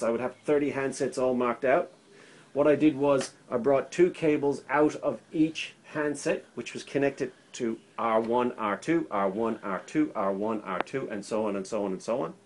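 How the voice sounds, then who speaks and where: A man's voice: medium pitch, a little mellow, slight background noise. A man talking as an electrician through an informal how-to demonstration, conversational, repeating himself and often checking in with a short "right?". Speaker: electrician demonstrating intercom setup. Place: indoors at a work table. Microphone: handheld camera held by another person, a short way off.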